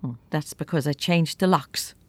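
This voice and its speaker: A woman's voice: deep voice, normal speed, darkish tone, no echo. An actress playing a bitter, defensive middle-aged widow in an audio drama, curt and sarcastic with her student child. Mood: defensive, startled. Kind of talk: naturalistic audio drama acting.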